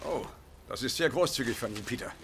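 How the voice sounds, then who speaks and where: An actor playing an eccentric, cheeky older father-in-law in a German sitcom dub, with a gravelly voice. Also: medium pitch, animated, fast, very noisy, close to an everyday voice. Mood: pleased. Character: cranky, eccentric old freeloading father-in-law.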